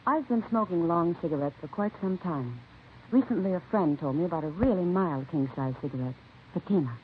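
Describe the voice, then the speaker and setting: A woman giving a measured, scripted testimonial in a 1950s radio advertisement. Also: lowish voice, expressive. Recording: tinny, faintly noisy vintage radio recording.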